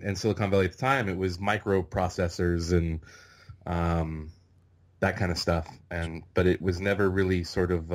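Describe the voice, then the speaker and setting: A man in his thirties from California hosting a casual podcast interview over a video call, talking in a relaxed, rambling way with many fillers. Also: deep voice. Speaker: podcast host.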